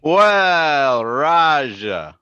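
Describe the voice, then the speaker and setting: An actor playing a smug, crooked investment broker in an old-time radio drama, with a brash, slangy, chuckling manner. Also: male voice, fairly high pitch, big pitch swings, drawn-out words, highs cut off, noisy background, full character voice. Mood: smug, amused.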